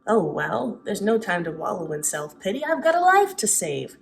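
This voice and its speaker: A woman's voice: medium pitch, big pitch swings, moderate pace, gravelly, slight background noise. A woman voicing a wry, bumbling elderly man who fancies himself a superhero in a storybook reading. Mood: resigned, determined.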